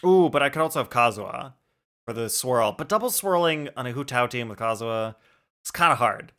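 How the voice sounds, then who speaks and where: A man's voice: mid-range voice, animated, a little fast, sharp tone. A man chatting casually on a game livestream, informally thinking aloud as he plays. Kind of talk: casual livestream gaming chat. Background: music.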